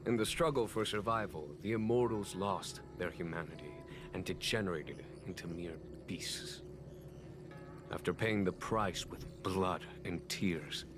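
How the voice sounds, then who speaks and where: An actor voicing a grave, learned general in a game, recounting ancient history in measured, formal speech. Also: male voice, low-pitched. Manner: measured, formal, weighty storytelling. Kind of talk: solemn scripted game dialogue. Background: game music.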